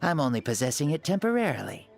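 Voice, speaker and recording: Ancient god in a youth's body, jaunty and theatrical, lively pitch swings, game music underneath. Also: male voice, quick pace, gravelly. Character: ancient male fire god in a boy's body, playful, self-assured. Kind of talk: animated video game voice acting. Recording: background music behind the voice.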